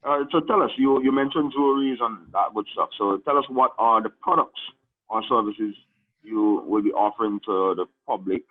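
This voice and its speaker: Husky male radio host on a tinny line. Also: fairly low pitch, normal speed. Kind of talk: radio interview.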